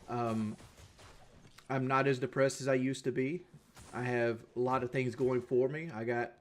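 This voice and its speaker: Male streamer, casual livestream chat, mid pitch, blunt and profane, lots of restarts. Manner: repeats himself and restarts often.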